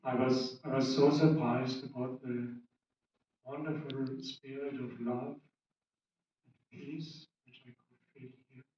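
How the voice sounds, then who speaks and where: A man speaks very slowly as he offers informal thanks. The sound is distant and heavily echoed, with faint noise. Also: medium pitch, level tone, darkish tone, dull audio. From Austria. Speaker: retreat participant giving thanks. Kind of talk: informal public sharing.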